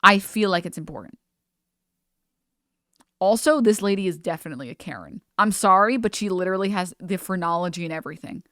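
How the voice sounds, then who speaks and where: A woman's voice: lowish voice, a little fast, fairly bright tone, dry recording, studio quality. A young woman streamer giving chatty, sarcastic commentary while reacting to a video.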